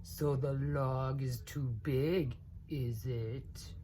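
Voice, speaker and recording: A woman voicing a big hungry male alligator in a storybook, coaxing in a drawn-out, falsely kindly manner. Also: extremely low pitch, steady tone, crowd noise, exaggerated voice. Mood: sly, coaxing.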